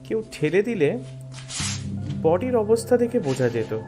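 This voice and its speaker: A man's voice: sits high, sing-song, unhurried, over music. A man playing an anxious, shaken young friend in an audio drama, earnest and urgent. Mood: subdued, worried.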